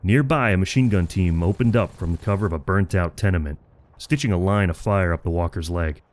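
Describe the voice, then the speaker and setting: Low-voiced male war story narrator. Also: brisk.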